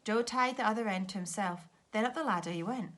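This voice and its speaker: A woman teacher reading a children's story aloud with expressive narration. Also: mid-range voice, brisk.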